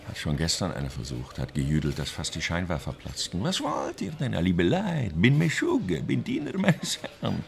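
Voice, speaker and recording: Gravelly, animated, gossiping German man. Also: deep voice, normal speed, few pauses, full character voice. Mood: mocking, heightened imitation. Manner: theatrical, mimics others in heightened voices. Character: agitated male actor gossiping fearfully about Nazi film studio. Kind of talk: German radio drama acting.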